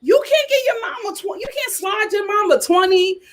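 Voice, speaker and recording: A young woman hosting a casual reality TV chat, sassy and animated, talking straight to her viewers. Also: very high-pitched, a little fast. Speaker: host.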